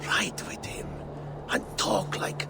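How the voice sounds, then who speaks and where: An actor playing an ailing old Viking jarl in a game drama, giving grave, weary counsel in a breathy, gravelly voice. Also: male voice, loud music behind the voice, close to an everyday voice. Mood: solemn counsel. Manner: slow, grave, measured counsel. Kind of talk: dramatic video game acting. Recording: loud background noise under the voice.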